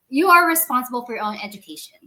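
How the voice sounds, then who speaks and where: Non-native English-speaking woman host, preachy and emphatic, sharp bright tone. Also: high voice, expressive, brisk. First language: not English. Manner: emphatic, lecturing, addresses listeners directly. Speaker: podcast host. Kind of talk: motivational podcast talk.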